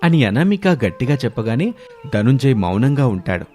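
A man's voice: mid-range voice, very animated, moderate pace, warm tone. A man narrating a scripted moral story in a dramatic storytelling style.

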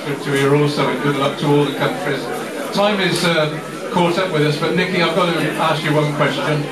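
A man's voice: on the high side, fairly even intonation, a little fast. A man interviewing two rugby players at a live event, chatty and joking. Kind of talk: live Q&A hosting. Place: bar with a crowd, indoors. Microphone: handheld mic, far camera. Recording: roomy bar, distant camera, loud crowd.

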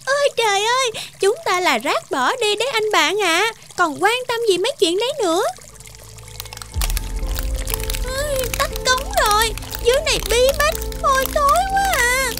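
A woman's voice: very high voice, expressive, brisk, hardly any pauses, bright, forceful tone, soft background music, full character voice. A woman voicing a blunt, world-weary discarded juice bottle in a children's cartoon, scolding and matter-of-fact. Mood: resigned. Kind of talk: animated children's cartoon acting.

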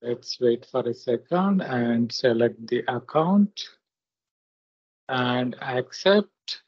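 A man presenting a software tutorial step by step, in halting, short instructional phrases, on muffled screen-recording audio. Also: mid-range voice, animated, husky, no echo. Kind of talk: step-by-step software demo narration.

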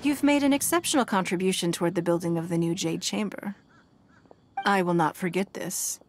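A woman's voice: on the low side, fairly quick, slight background noise, natural voice. A woman playing a dignified, powerful leader in a video game, formal and composed. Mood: composed, grateful. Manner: formal, stately.

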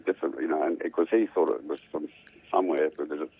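An older man, a musician, chatting in a rambling, relaxed way as a radio guest. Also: rumbling low, quick pace, husky, narrow, tinny audio. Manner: rambling, hums riffs aloud.

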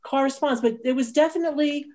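A woman's voice: high voice, fast, warm tone. A woman explaining history emphatically in a panel discussion, speaking indoors on a video call.

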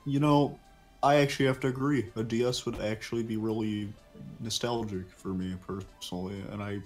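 Casting: male actor as cartoon ninja chameleon detective, low voice, measured and polite, casual gaming chat, music underneath. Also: steady tone, natural voice. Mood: considering. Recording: music behind the voice.

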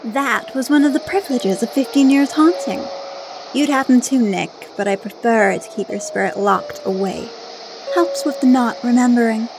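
A woman's voice: fairly high pitch, moderate pace, noisy background, lightly acted. A woman playing a powerful, mocking young female ghost in a radio drama, taunting and casually smug. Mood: smug menace.

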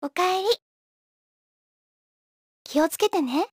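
An actress playing a gentle, caring teenage girl in short, soft video game voice clips. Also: very high-pitched, lively intonation, very slow, dry recording, studio quality, full character voice. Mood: gentle concern.

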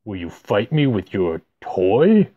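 A man's voice: lowish voice, very animated, slow, phone-quality sound, full character voice. A man voicing a huge, boastful giant warrior who sneers and taunts, for a children's storybook. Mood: mocking.

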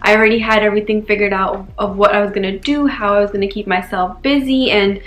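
A woman's voice: medium pitch, fairly even intonation, fast talker, reverberant. A young woman from New York chatting candidly to camera in her bedroom, casual and full of fillers. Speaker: lifestyle vlogger. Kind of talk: casual vlog chat to camera. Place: bedroom indoors.

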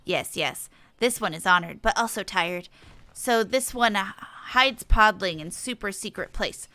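A woman's voice: medium pitch, measured pace, bright, forceful tone, dry recording. A woman voicing a small, earnest, fretful forest sylph from a fantasy game, speaking oddly in the third person. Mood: weary. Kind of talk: reading game dialogue in character.

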